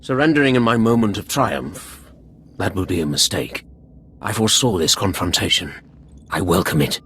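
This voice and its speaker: An actor playing a vengeful Sith lord in a video game, making grandiose, menacing declarations. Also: male voice, low-pitched, animated, moderate pace, a bit bright, a little hiss or hum. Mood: vengeful, triumphant. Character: vengeful Sith lord, a grieving father and fanatical villain. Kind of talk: scripted video game acting.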